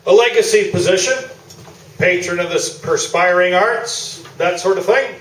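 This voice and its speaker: A man's voice: high-pitched, moderate pace, a bit bright. A man playing a boastful, blustering, evasive old sports columnist who rambles self-importantly in a comic stage radio play. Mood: haughty, defensive. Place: small theatre stage, live performance. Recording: echoey stage, distant camera mic.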